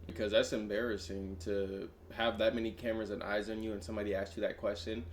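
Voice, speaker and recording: A man's voice: lowish voice, fast talker, a little hiss or hum. A young man hosting a reaction video, chatting casually and exclaiming at what he sees. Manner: animated, exclaims loudly at surprises, repeats "I'm not gonna lie".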